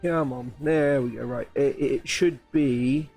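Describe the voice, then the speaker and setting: A man chatting casually on a live stream, his voice a little croaky, rambling with restarts and sounding excited about his plans. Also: mid-range voice, slow, soft background music. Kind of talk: casual live-stream chat while building.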